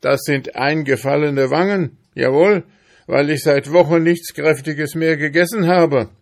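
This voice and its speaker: A man's voice: on the high side, unhurried, voice pushed up. A man voicing a grumpy, stingy male hamster farmer in a storybook, blustering and grumbling indignantly. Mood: offended, self-pitying.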